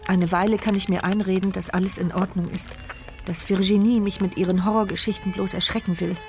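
A woman's voice: medium pitch, fairly even intonation, brisk, lightly acted. Actress as anxious woman's inner voice over music. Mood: brooding. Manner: intimate, intense, brooding. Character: mute paralysed woman, anxious, desperate inner narrator. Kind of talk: radio drama inner monologue.

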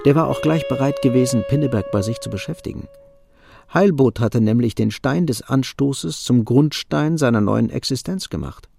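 A man narrating a literary radio play from a script, with a gravelly voice. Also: medium pitch, moderate pace, warm tone, soft background music.

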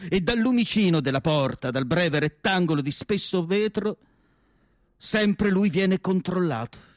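A male actor with a fairly high voice delivers a literary monologue for radio theatre. His phrasing is solemn and declamatory, set off by deliberate pauses. The sound is very dry, with no room around the voice. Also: animated, no room sound. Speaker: stage actor reciting literary prose.

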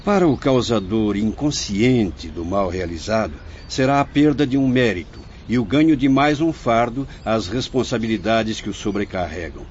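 A man's voice: on the low side, animated, a little mellow, lightly acted. Dull noisy recording, man acting wise fatherly spirit teacher, Brazilian accent, solemn oratory, radio drama. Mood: earnest, assured. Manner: measured, preaching, formal oratory. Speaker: actor.

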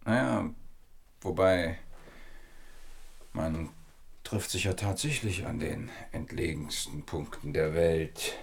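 A man's voice: low-pitched, slow pace, creaky, pauses often, a little hiss or hum, exaggerated voice. An actor playing an evasive, flirtatious man in his mid-thirties in a radio play. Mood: hesitant, surprised. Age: 30s. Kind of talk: German radio play dialogue.

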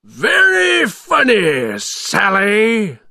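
Storybook monster villain, high-pitched, slow drawn-out taunts, gleeful, menacing, loud. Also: male voice, wildly swooping pitch, creaky, no room sound, studio quality, exaggerated voice. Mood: gloating.

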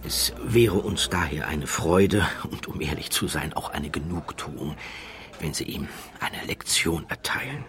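A man voicing a discreet, flattering gentleman passenger, courteous and confiding, in an audiobook. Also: very deep voice, a little slow. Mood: confiding, inviting. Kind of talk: audiobook character dialogue.

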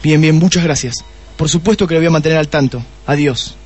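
An actor playing a shrewd, testy police detective in a radio play, with an Argentine accent and a deliberate way of questioning. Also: male voice, a little high, a little mellow, breathy edge, soft background music. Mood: focused, satisfied. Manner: deliberate, repeats answers back pointedly. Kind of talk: Spanish-language radio play acting.

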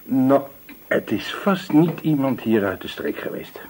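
An actor in a Dutch radio play, playing a callous, gossipy landlord who talks in a disdainful, evasive, complaining way. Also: male voice, medium pitch, sing-song, a little slow, darkish tone, slight background noise. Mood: scornful, gossipy. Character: callous, gossipy, self-justifying male landlord.

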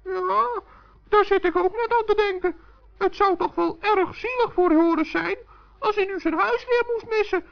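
Little male forest gnome, extremely high, earnest, fretful, kindly, children's radio play, muffled recording. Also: moderate pace, creaky. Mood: gloomy, sympathetic. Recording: dull, muffled old recording.

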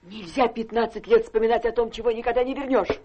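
A woman's voice: a little high, fairly even intonation, fast talker, lo-fi sound, close to an everyday voice. An actress playing a weary, plaintive elderly mother from a poor household in a radio drama. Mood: bitter reproach. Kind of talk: Russian radio drama stage acting.

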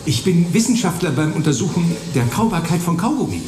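Actor doing an excited, high-pitched eager customer, echoing. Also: male voice, fairly quick, far from the mic. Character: eager man pompously ordering bulk gum, posing as scientist. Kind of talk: German radio play dialogue.

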